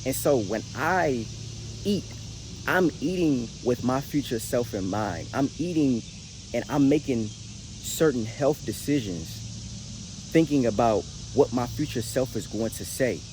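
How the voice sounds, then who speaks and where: A man's voice: mid-range voice, lively intonation, unhurried. An American man in his late twenties giving a casual motivational talk straight to the audience, emphatic and slangy, repeating words and phrases for weight. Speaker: motivational vlogger. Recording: noisy recording with clear background noise.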